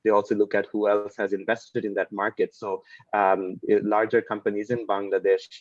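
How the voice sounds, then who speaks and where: A man's voice: on the low side, flat delivery, normal speed, dry recording, dull audio. A man speaking as a company executive on a webinar panel, stumbling and repeating words as he goes. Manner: repetitive, stumbling restarts.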